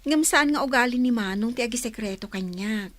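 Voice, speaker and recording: A woman playing an eager, teasing younger sister in a Filipino radio serial, insistent and excitable. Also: fairly high pitch, brisk, no room sound, close to an everyday voice. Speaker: radio drama actor.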